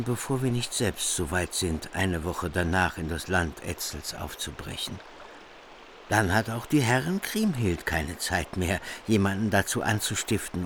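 An actor playing a wary, forceful royal warrior in a German radio play of a medieval epic, speaking emphatically. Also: male voice, sits low, a little hiss or hum. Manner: emphatic, declamatory.

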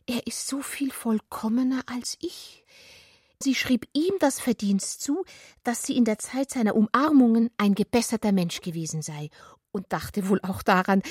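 A woman reading literary prose for a radio production, with intimate, expressive, deliberate phrasing that often falls to a whisper. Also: on the high side, no echo, studio quality. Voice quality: breathy, often falling to a whisper. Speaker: narrator of a radio literary adaptation. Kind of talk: literary scripted narration. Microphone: close studio mic, dry.

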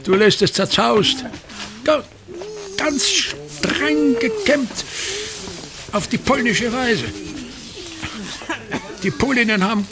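An actor playing a pompous, tyrannical ageing actor-manager, declaiming contemptuously and repetitively in a radio drama. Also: male voice, very high-pitched, sing-song, slow, vocal fry, pauses often, full character voice. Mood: exasperated, commanding. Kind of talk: theatrical German radio drama monologue.

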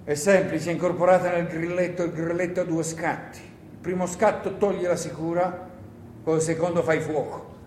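A man's voice: on the high side, steady tone, normal speed, a little mellow, close to an everyday voice. A man playing a seasoned, dismissive partisan comrade in a theatrical radio play, speaking in a terse, impatient way. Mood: matter-of-fact. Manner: terse, matter-of-fact, impatient. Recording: roomy echo with faint noise.

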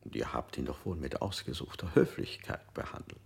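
A gravelly probing interrogator. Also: male voice, a bass voice, lively intonation, a little fast, heavily acted. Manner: insistent, probing questions. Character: male interrogator, coaxing yet probing. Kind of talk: modernist radio play acting.

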